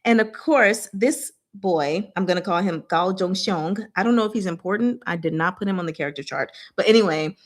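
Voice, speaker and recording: A woman's voice: fairly low pitch, brisk, hardly any pauses. A woman casually recapping a novel in a book discussion, with a chatty, playful, giggly manner and paraphrased dialogue acted out in slang.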